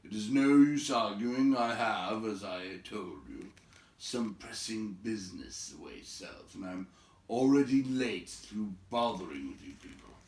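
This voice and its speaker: A man voicing a brisk, bossy old wizard from a storybook, with an emphatic, scolding and teasing manner. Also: lowish voice, lively intonation, echoey room, recorded from a distance. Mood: brisk, exhorting.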